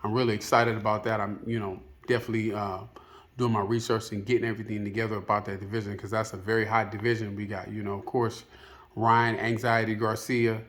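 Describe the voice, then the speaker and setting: A man's voice: fairly low pitch, level tone, quick pace, fairly bright tone. A man giving casual, unscripted opinion commentary as a video host, rambling and hesitant, often padding with filler phrases. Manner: hesitant, rambling, peppered with "you know".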